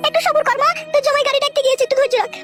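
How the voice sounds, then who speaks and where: Cartoon daughter-in-law, acted by a woman: young, caring, fretful, extremely high voice, music underneath. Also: fairly even intonation, racing. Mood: anxious, urging. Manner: urging, soothing. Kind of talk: village comedy cartoon dubbing. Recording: music behind the voice.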